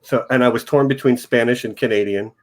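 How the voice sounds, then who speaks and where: A man hosting a loose, unscripted chat with a guest. He talks in a relaxed, joking way, wandering through his thoughts. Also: medium pitch, brisk. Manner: relaxed, joking, casual rambling. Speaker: podcast host. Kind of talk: informal interview chat.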